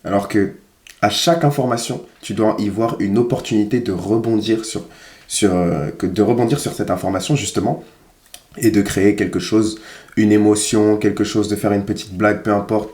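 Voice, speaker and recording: A man's voice: lowish voice, steady tone, moderate pace, a little mellow, echoey room. A man giving casual, critical commentary as a dating coach, emphatic and repeating phrases for stress. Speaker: dating coach reviewing footage.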